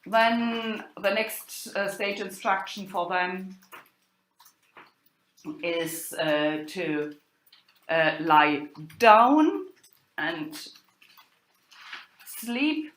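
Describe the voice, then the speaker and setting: A woman giving an academic lecture in a halting way, pausing and hesitating often. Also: medium pitch, animated, fairly bright tone. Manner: halting delivery with long hesitations. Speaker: lecturer, university professor. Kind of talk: spoken lecture on old drama texts. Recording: echoey room.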